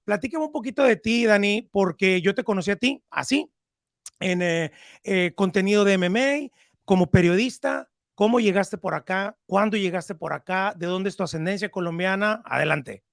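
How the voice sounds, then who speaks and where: A man's voice: sits high, normal speed, gravelly, no room sound, studio quality. A man hosting a casual interview, chatty and informal, putting questions to his guest. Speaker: podcast host, interviewer.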